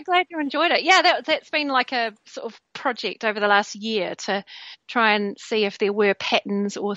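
Bright-voiced woman on a muffled line. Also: fairly high pitch, dry recording. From New Zealand. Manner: warm, candid, lots of fillers and self-corrections. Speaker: coach, interview guest. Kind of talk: conversational interview.

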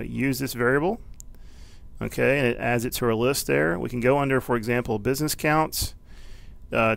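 A man giving a casual, unscripted software tutorial, explaining step by step and thinking aloud as he goes. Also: mid-range voice. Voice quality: gravelly, creaky. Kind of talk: informal, unscripted screencast walkthrough.

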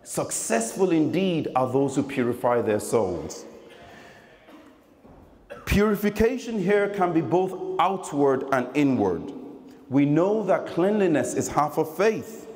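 A man delivering a Friday sermon in a deliberate, emphatic way into a stand microphone in a large mosque. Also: fairly high pitch, sing-song, darkish tone. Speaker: preacher giving a Friday sermon. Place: large mosque prayer hall, indoors. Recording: echoey hall with long reverb.

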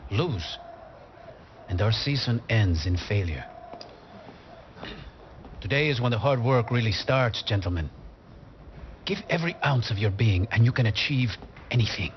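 A man playing an earnest, driven middle-aged football coach in a game drama, giving solemn, measured team talks. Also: fairly low pitch, lively intonation, lo-fi sound, slight background noise. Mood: solemn, rousing. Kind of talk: scripted game drama, pre-match team talk.

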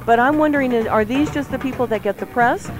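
A woman's voice: fairly high pitch, fast talker. A woman co-hosting a radio show with casual, playful banter. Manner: playful, giggly. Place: radio studio.

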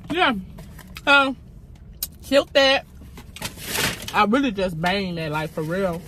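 A woman's voice: mid-range voice, animated, slow pace, noisy background. A woman, talking casually to camera from a parked car, blunt and outspoken. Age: 20s. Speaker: vlogger. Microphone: phone camera on dashboard.

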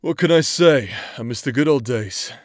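A man's voice: mid-range voice, expressive, moderate pace, no echo, lightly acted. A man playing a brash, teasing knight captain, a loyal younger brother, in an anime-style romance audio drama, talking in an informal, playfully sarcastic way. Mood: bantering. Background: background music.

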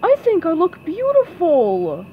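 A woman's voice: extremely high pitch, huge pitch swings, slow pace, phone-quality sound, a little hiss or hum, pitched above the performer's own voice, heavily acted. Woman doing a vain, offended cartoon starfish.

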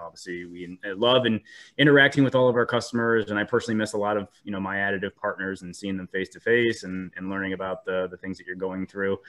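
A man's voice: deep voice, fairly bright tone. Sniffly man hosting a webinar. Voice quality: filler-heavy, frequent ums and restarts. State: sniffly from a cold. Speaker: 3D printing specialist presenting a webinar. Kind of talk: webinar presentation. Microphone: video call.

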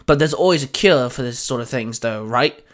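A man's voice: mid-range voice, moderate pace, pitched below the performer's own voice, lightly acted. Guy reading as a determined girl hero, snappy and pressing, story narration. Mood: questioning.

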